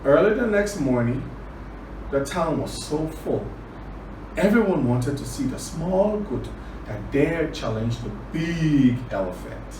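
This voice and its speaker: Slow, animated male children's storyteller. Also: on the high side. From Liberia. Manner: deliberate, repeats phrases for emphasis. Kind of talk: children's storytelling and word lesson. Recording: roomy, distant, clearly noisy recording.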